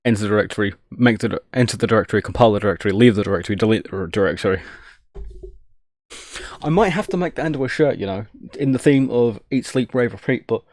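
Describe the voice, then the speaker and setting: A man's voice: on the low side, fast, darkish tone, no room sound, studio quality. A man casually thinking aloud on a live tech stream, jokey and self-deprecating, muttering each step as he works.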